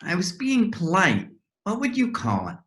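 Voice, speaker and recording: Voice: medium pitch, huge pitch swings, fairly bright tone, a little hiss or hum. Performer acting a wonderstruck, swooping imaginary being. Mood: amazed. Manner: halting, wondering. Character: wonderstruck imaginary being, newly able to smell and speak. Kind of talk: readers theater dialogue.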